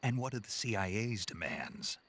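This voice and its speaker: A gruff, husky, low-voiced soldier. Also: male voice, big pitch swings, moderate pace, fairly bright tone, full character voice. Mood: sceptical. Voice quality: gravelly, husky. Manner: terse, dry, questioning. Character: gruff, sceptical male special-forces soldier on an infiltration mission. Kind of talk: video game cutscene acting.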